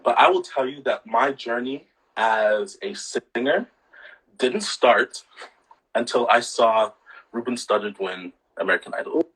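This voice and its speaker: A man from Canada sits for a casual live-stream interview. His husky, breathy voice meanders through stories, with a loose filler-heavy rhythm and easy laughter. The pitch sits in a middle range for a man. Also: measured pace, low-quality recording. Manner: relaxed, laughing often, many fillers. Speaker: guest singer.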